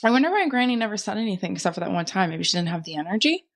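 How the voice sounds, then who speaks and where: A woman with a medium-pitched voice co-hosts a podcast. She chats casually and reacts expressively, with plenty of slang. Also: very animated, racing. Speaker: podcast co-host. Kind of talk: casual chat.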